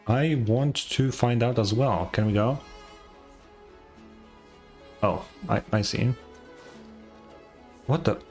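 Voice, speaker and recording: A man's voice: mid-range voice, takes it slowly, pauses often, a little mellow. A man who is not a native English speaker, giving casual commentary on a game, musing aloud and swearing. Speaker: gaming streamer. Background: game music.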